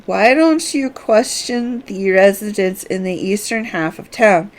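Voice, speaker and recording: A woman voicing a formal, scholarly strategist from a fantasy game, speaking in a measured, articulate way. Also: mid-range voice, natural voice. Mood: businesslike.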